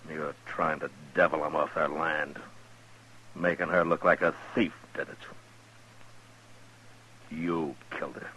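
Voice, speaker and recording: An American actor playing a stern, gruff, commanding frontier marshal in a 1950s radio western. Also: male voice, rumbling low, flat delivery, measured pace, pauses often, sharp tone, heavily acted. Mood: accusing, bitter. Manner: commanding, blunt. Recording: noisy old radio drama recording.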